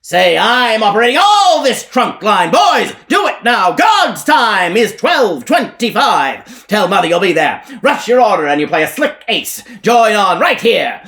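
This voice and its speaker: A harsh, brash male preacher, bellowing high and hard like a fairground barker. His voice leaps wildly up and down as he hawks salvation in a storybook reading. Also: sing-song, unhurried, few pauses, full character voice. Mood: hectoring. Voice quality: harsh, hollering. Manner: shouted sales-pitch preaching.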